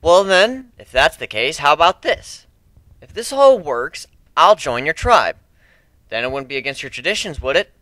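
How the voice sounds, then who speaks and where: A man's voice: fairly high pitch, very animated, moderate pace, bright, forceful tone, no echo, pitched above the performer's own voice. A man playing a confident, commanding male pirate crew leader in a fantasy story reading, assertive and reasoning. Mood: firm resolve.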